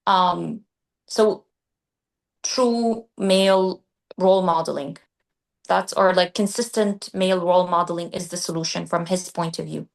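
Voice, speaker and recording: Podcast co-host, female, grew up in Qatar; thoughtful, measured delivery with mid-sentence restarts. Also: on the low side, fairly even intonation, vocal fry. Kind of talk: casual discussion.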